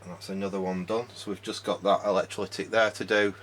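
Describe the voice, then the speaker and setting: Low-pitched man talking through a repair. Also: little pitch movement, fast, fairly bright tone, a little hiss or hum. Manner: informal, muttering step by step while working. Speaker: hobbyist electronics repairer and presenter. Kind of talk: casual hands-on repair commentary.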